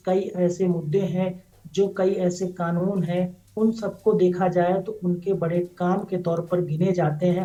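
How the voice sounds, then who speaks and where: A man reports live as a news correspondent, in a high-set but very mellow voice. He talks without a script, rolling through long-winded, repetitive sentences that run into one another. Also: dull audio.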